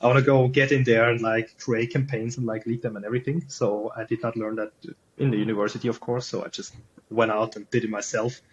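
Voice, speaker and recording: A man's voice: medium pitch, level tone, a little fast, dull audio, slight background noise. Swiss-accented man, rambling casual talk. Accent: Swiss German. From Switzerland. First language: German. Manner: rambling, many "like" and "you know" fillers. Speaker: podcast guest, SEO freelancer. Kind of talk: casual podcast conversation.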